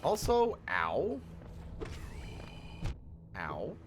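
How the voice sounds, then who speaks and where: Animated man streaming a video game. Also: a little high, extremely slow, over music. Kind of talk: casual live gameplay commentary.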